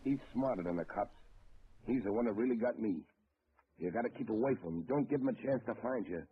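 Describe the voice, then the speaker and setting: A man's voice: expressive, brisk, breathy edge. An actor playing a threatening male gangster sentenced to death, in an old radio drama. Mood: coaxing. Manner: threatening, low and intense. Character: menacing male gangster, condemned killer. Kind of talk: 1930s radio drama acting. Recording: muffled old radio recording with hiss.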